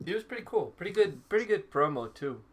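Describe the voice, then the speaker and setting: A man's voice: sits high, very animated, fast talker. A man, co-hosting a casual chat on a video call with a headset and often restarting his sentences. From Serbia. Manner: talkative, stops and restarts often. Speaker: co-host. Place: small room indoors. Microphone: over-ear headset on a video call. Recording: noisy video call audio.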